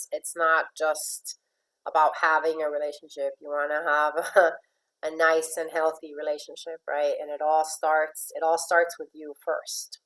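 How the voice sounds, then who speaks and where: Woman from Venezuela, low-pitched voice; a life coach giving a friendly motivational talk, repeating words and laughing lightly. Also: a bit bright, rough recording.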